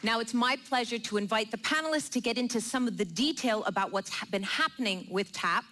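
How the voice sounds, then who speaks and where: A woman's voice: on the high side, fairly quick. A woman hosting a panel at a live event in a theatre. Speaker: event host. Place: theatre stage with audience. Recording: live event, audience noise, clicks.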